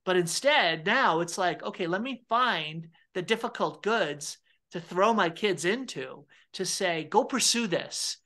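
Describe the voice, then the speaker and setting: A man's voice: high voice, lively intonation, measured pace, pushed and bright. A middle-aged man hosting an interview over a video call from home, speaking earnestly, often repeating his words. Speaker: interviewer, theologian. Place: home room with fireplace.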